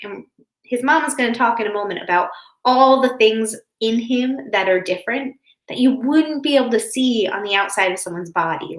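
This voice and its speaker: A woman with a fairly high voice speaks as a teacher to young children. She explains things carefully in simple words, as in a read-aloud session. The recording is clearly roomy, with echo around her voice. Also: a bit bright. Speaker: teacher reading a picture book to children. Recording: roomy, echoing indoor sound.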